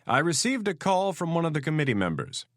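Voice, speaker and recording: A man's voice: on the high side, huge pitch swings, fast, fairly bright tone, no echo, studio quality, pitched above the performer's own voice, exaggerated voice. A man voicing a weary middle-aged male president who talks plainly and frankly.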